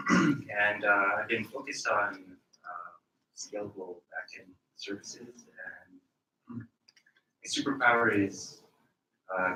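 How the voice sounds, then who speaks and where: A man, a software developer, introducing himself to a group in a quick, matter-of-fact way. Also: fairly low pitch. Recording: echoey room, distant, faint noise.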